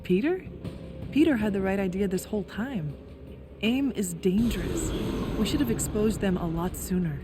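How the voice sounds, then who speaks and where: A woman's voice: mid-range voice, expressive, normal speed, music in the background, close to an everyday voice. A young woman playing a determined, caring corporate insider in a video game, earnest and reassuring. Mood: subdued, earnest. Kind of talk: video game cutscene acting.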